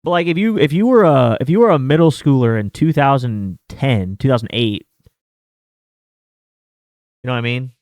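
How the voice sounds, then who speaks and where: A man's voice: medium pitch, lively intonation, moderate pace, pauses often, a little mellow, dry recording. Rambling man, dry close mic. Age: 30s. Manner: rambling, filler-heavy, with mild swearing. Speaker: sports betting host. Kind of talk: casual chat.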